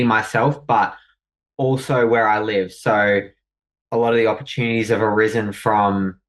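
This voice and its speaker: A young Australian man tells his own story as a guest, reflective and hesitant, with fillers and restarts. His voice sits at an ordinary middle pitch. He sounds a little away from the mic. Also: fairly bright tone, far from the mic, rough recording. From New South Wales, Australia. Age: young adult. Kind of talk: personal story in conversation.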